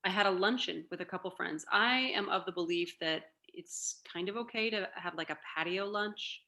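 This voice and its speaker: A woman's voice: lowish voice, moderate pace, bright, forceful tone. A woman in her forties chatting casually on a video call, rambling along with plenty of fillers. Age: 40s.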